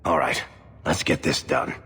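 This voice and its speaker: An actor playing a gruff, sardonic companion in a video game, with a very deep, gravelly, husky voice. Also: male voice, a little slow, pushed and bright, heavily acted. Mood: wry, resolute. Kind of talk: video game character acting. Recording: long hall reverb.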